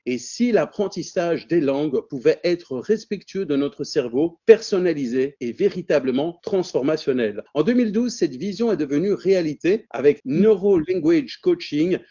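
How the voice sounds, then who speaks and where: A man hosting a webinar, introducing his guest by reading her biography aloud in a steady way. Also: fairly high pitch, slightly rough, highs cut off. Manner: warm, measured, reading a prepared text. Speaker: webinar host.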